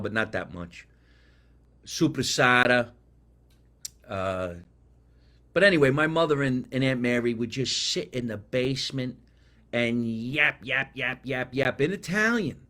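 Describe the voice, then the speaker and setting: A man with a New Jersey accent hosting a casual live podcast, chatting and reading viewer comments in a rambling, animated way. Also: medium pitch. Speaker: podcast host.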